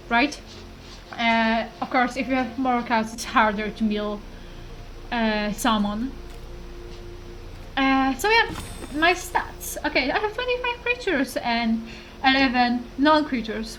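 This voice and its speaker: A woman's voice: on the high side, fairly bright tone, slight background noise, poor audio. Polish-accented young woman chatting casually. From Poland. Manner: chatty, rambling, full of fillers. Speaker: gaming YouTuber. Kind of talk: casual chat to camera.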